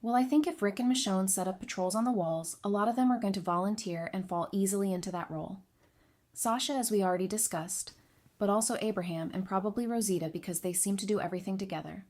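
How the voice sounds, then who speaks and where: A woman's voice: medium pitch, fast, darkish tone, lightly acted. An actress playing a candid, dry-witted woman adviser in an audio drama, talking in a frank, conversational way. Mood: thoughtful, frank. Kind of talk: fan audio drama acting.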